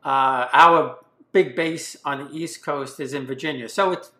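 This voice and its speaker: A man in his seventies hosting an interview, asking questions in a relaxed, chatty way, with plenty of fillers and restarts. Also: mid-range voice, measured pace, roomy sound. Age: 70s. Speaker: podcast host, interviewer. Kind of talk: casual interview.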